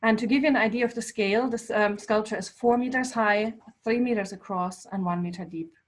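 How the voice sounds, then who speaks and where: A woman whose first language is German, giving a thoughtful presentation about her artwork to a small gathering, with frequent pauses and filler words. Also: fairly high pitch. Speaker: artist giving a talk. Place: local climate cafe event.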